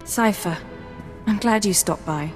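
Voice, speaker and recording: Woman acting composed female intelligence officer, measured, dry, mid pitch, long reverb, faint music. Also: a little mellow, lightly acted.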